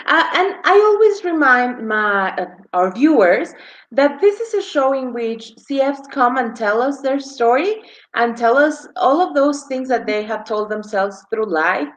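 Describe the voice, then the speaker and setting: A woman's voice: high voice, lively intonation, a little slow, poor audio. A woman hosting an interview show on a video call, thanking her guest effusively and speaking with frequent fillers. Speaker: show host.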